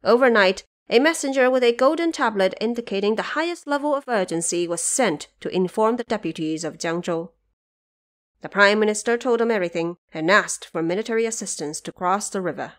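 A woman's voice: mid-range voice, expressive, fairly quick. A woman narrator delivering scripted, dramatic storytelling for an audio drama.

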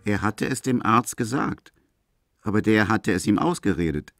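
A man plays the narrator of a dark literary radio drama. His voice sits low and is full of gravelly creak, telling a grim story in the third person. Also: expressive, normal speed, no room sound. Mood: grim, indignant. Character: male third-person narrator of a grim tale about a released madman.

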